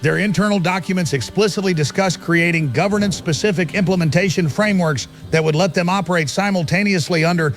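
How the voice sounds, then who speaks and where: Expert analyst, audio drama, male actor: measured, sober, higher-than-average pitch, soft music underneath. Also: close to an everyday voice.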